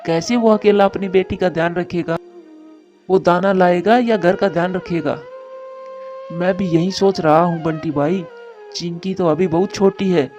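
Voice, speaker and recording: A man's voice: sits high, a little mellow, highs cut off. A man voicing a caring, troubled adult male bird in a cartoon moral story, speaking earnestly and with plain drama. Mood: concerned.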